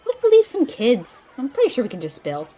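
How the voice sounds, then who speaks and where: A woman's voice: high-pitched, huge pitch swings, fairly quick, mellow, breathy edge, full character voice, low-quality recording. A woman playing a tech-minded, sarcastic female sidekick who teases dryly, in an audio drama. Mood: wry. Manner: dry, sarcastic, teasing.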